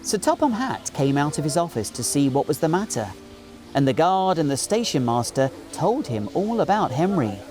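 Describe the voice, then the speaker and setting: A man in his forties with a British accent, narrating a children's story in an animated reading style. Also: a little high, moderate pace, faint music behind. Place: small indoor recording studio.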